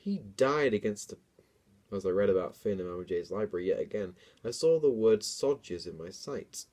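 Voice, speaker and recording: A man's voice: on the low side, expressive, normal speed, a little mellow. A young man doing a dramatic reading of a story, breaking into casual, self-mocking asides. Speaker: comedian reading own childhood book.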